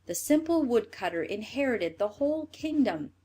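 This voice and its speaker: A woman reading a scripted fairy tale as a storyteller, in an expressive manner. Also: fairly high pitch, moderate pace, noisy background.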